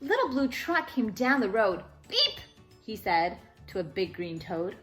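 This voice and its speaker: A woman hosting a children's story time, reading a picture book aloud in an expressive, sing-song way. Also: medium pitch, moderate pace, soft background music.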